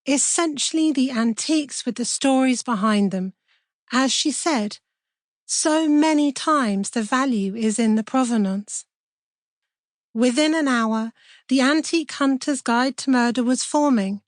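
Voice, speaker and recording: A woman's voice: high voice, dry recording, clean studio sound. A middle-aged woman with a British accent reading a scripted personal introduction as an author. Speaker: author introducing own audiobook.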